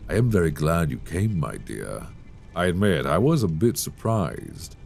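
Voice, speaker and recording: A man playing a suave, sadistic vampire lord in an intimate roleplay audio, courtly and teasing with quiet menace, savoring his words. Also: low-pitched, very animated, a little slow, faint music behind, heavily acted. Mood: smug, courtly. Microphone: close binaural microphone. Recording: close binaural mic, clear background noise.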